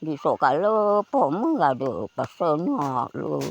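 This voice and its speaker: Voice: mid-range voice, big pitch swings, very slow, dull audio, slight background noise. A person telling a folk tale aloud in a conversational way. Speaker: folk storyteller.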